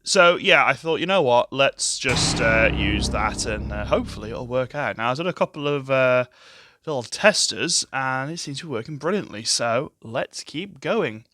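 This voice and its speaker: A man with a very deep, bassy voice, hosting casual let's-play commentary on a gaming headset mic, chatty and self-deprecating. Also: expressive, moderate pace. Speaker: gaming channel host. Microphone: gaming headset with adjustable boom mic. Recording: game music playing under voice. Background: game music and sounds.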